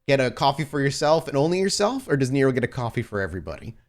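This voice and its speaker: A man's voice: mid-range voice, sing-song, rapid-fire. A man running a tabletop role-playing game, narrating and improvising in a loose, conversational, amused way. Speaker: game master.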